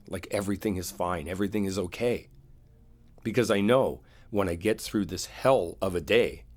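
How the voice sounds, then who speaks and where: A man playing a wounded, devoted boyfriend in an intimate audio roleplay, speaking in a halting, confiding, earnest way. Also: low voice, a little mellow, breathy edge. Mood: earnest reassurance. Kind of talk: boyfriend ASMR roleplay, intimate one-sided dialogue.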